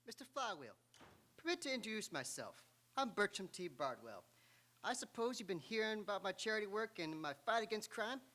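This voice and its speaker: Pompous, animated gentleman, acted on stage. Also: mid-range voice, a little fast. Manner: formal, pompous. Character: earnest, pompous male civic crime-fighting philanthropist. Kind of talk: 1930s comedy radio play acting. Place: auditorium stage. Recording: live stage radio play.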